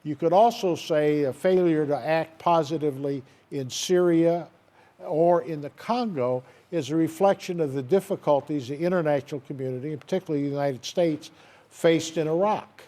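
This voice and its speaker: A man's voice: a little high, moderate pace, warm tone. A man speaking as a debate guest, reflective and hesitant. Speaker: panel guest. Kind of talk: live debate contribution.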